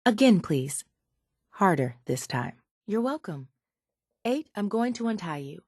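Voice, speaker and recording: An actress playing a sardonic, calculating raider chemist in a video game, speaking in a dry, measured, faintly condescending way. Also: female voice, medium pitch, big pitch swings, a little mellow, dry recording. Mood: clinical, cold. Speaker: video game character voice actor. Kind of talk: scripted video game dialogue.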